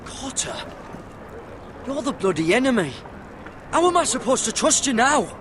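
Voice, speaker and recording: A man's voice: very high voice, big pitch swings, moderate pace. An actor playing a wary, loyal young sworn watchman in a video game, direct and questioning. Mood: angry, distrustful. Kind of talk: dramatic video game voice acting. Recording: clearly noisy outdoor ambience.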